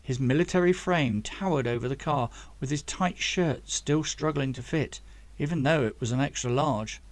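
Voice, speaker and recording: A man's voice: medium pitch, normal speed, no room sound. A man reading a story aloud as scripted audiobook narration, as the author of the book.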